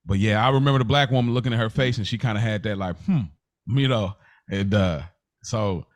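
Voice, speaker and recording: Male podcast host, American, lower-pitched, slangy casual storyteller, dry close sound. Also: a little fast, no echo. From United States, Kentucky and Indiana. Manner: animated, slangy storyteller who acts out remembered conversations.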